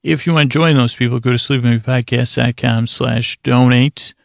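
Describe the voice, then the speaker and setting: A man with a heavily creaky, gravelly voice hosts a bedtime podcast. He meanders through a monologue, hesitating, doubling back and gently putting himself down. The sound is very dry and close to the microphone. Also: medium pitch, fairly even intonation, moderate pace, no room sound. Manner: meandering, hesitant, self-deprecating, trailing off into tangents. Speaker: podcast host.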